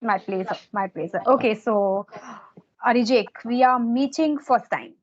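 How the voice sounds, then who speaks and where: A woman with an Indian English accent, Hindi her first language, interviewing as an English teacher and pausing often with fillers. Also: on the high side, lo-fi sound. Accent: Indian English, Hindi speaker. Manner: hesitant, many filler sounds, repeats points. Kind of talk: casual conversational interview.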